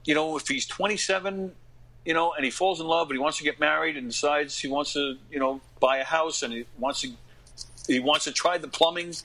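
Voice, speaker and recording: A man's voice: a little high, fairly quick, pushed and bright, rough recording. A middle-aged man talking casually as an interview guest, earnest and emphatic, often repeating his phrases. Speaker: podcast guest, actor and comedy radio host.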